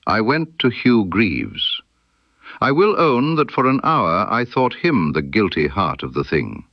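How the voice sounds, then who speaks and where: A man voicing a stern, incisive detective in an audiobook mystery, precise and emphatic, with dramatic pauses. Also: fairly low pitch, very animated, dry recording, dull audio, exaggerated voice. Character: stern, incisive male detective laying out his case.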